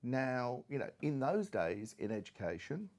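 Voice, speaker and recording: A man's voice: mid-range voice, sing-song, unhurried, a little mellow. A middle-aged man speaking as an expert panellist in a conversational, discursive way, with frequent asides.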